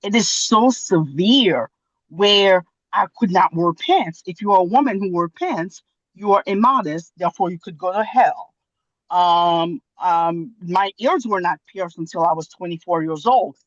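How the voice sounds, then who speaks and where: Halting woman from Haiti, dull call audio. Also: fairly low pitch, dry recording. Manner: hesitant, many fillers and restarts. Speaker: audience member commenting. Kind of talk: conversational commentary on a video call. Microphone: video call.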